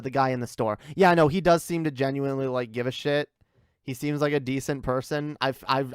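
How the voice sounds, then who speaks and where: A man with a smooth, clear voice of middling pitch, recorded dry and close. He talks as a livestream host reacting off the cuff, rambling, repeating himself and swearing casually. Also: no echo. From Canada. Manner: rambling, repetitive, liberal use of "like" and swearing.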